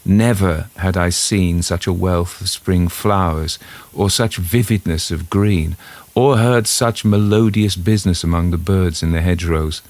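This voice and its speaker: A man reading a scripted first-person literary story as its narrator, in a measured storytelling manner. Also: deep voice, fairly even intonation, darkish tone, no echo.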